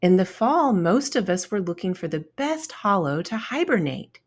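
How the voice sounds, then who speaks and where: A woman's voice: medium pitch, big pitch swings, normal speed. A woman voicing a friendly, caring female ladybug who urges her friend along in a children's storybook read-aloud. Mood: helpful, urging.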